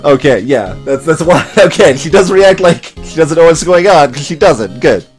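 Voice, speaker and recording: A man hosting a let's play, giving casual, halting, amused commentary with music behind him. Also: a little high, expressive. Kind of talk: casual gaming commentary with voiced dialogue reading.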